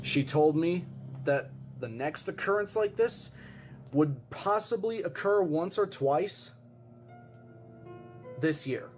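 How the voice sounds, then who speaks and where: A man playing an earnest eyewitness who tells a grave disaster theory in an insistent, suspenseful way with dramatic pauses. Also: a little high, animated, darkish tone. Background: music behind the voice.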